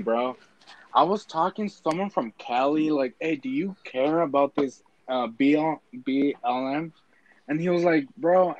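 Laid-back young man, dull lo-fi sound. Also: medium pitch. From Mexican family. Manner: rambling, filler-heavy, says bro and dude. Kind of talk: casual chat.

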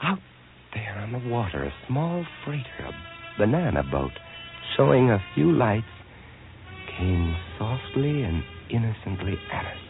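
An actor playing a desperate, weary lighthouse keeper, narrating dramatically and deliberately in old-time radio horror style. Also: male voice, fairly low pitch, very animated, gravelly, music in the background, heavily acted. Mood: hushed dread. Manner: dramatic, deliberate, hushed to urgent swells. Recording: tinny, band-limited vintage radio recording.

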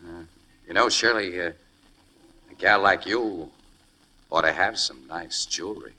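A man's voice: low voice, unhurried, sharp tone, heavily acted. An actor playing a wary, wisecracking American man posing as an ex-convict in a 1940s radio crime drama, talking in casual tough-guy slang. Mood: flirtatious, unsure. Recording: noisy vintage radio recording.

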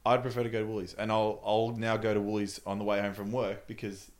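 A man's voice: on the low side, flat delivery, fast. A young Australian man co-hosting a podcast, bantering casually with friends. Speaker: podcast co-host.

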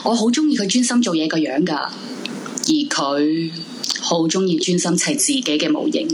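An actress playing an introverted, brooding young woman in a radio drama, confiding in Hong Kong Cantonese with dramatic pauses. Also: sits low, background noise, natural voice. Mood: confiding, fond. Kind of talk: radio drama monologue in first person. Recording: music bed under the voice. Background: dramatic background music.

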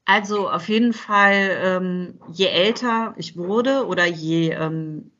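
Bright-voiced woman guest, reflective. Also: medium pitch, slow pace. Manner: reflective, hesitant with many fillers. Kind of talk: casual interview chat. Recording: dull lo-fi sound.

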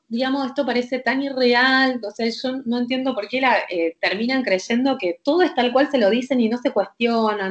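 Emphatic Rioplatense woman, lo-fi audio. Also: fairly high pitch. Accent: Rioplatense Spanish. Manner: emphatic, insistent, often asking whether the listener follows. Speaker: doctor guest. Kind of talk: heated conversational explanation. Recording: lo-fi dull audio.

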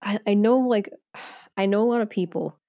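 A woman's voice: medium pitch, moderate pace, no echo, highs cut off. A young woman hosting a podcast in casual chat, hesitant and giggly, with lots of fillers. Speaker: podcast host.

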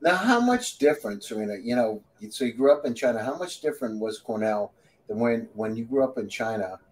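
A man's voice: mid-range voice, animated, brisk, low-quality recording. A man hosting an interview, chatty and fond of telling personal stories and asking friendly questions. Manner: chatty, storytelling, friendly asides. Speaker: interview host. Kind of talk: casual interview conversation.

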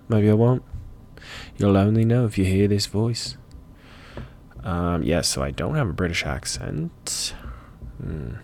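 A man speaks slowly in a low, gravelly voice, musing aloud on a solo podcast. He rambles thoughtfully and stops to correct and rephrase himself as he goes. A faint noise sits under the recording. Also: darkish tone.

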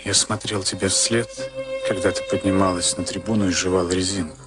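An actor playing a brooding, stubborn boxer in a Soviet radio play, speaking in an intense, introspective, insistent way. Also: male voice, sits low, steady tone, fairly quick, close to an everyday voice. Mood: needling, insistent. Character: brooding, stubborn professional boxer before a fight. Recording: archival radio drama, loud music behind.

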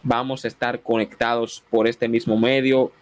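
A man's voice: mid-range voice, little pitch movement, moderate pace, no room sound. Man, Dominican Spanish accent, radio preacher, fervent sermon, exclamations of amen and glory to God, solemn repeat-after-me prayer. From Dominican Republic.

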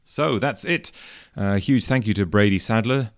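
Man hosting a podcast, lowish voice. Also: moderate pace. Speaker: podcast host, editor.

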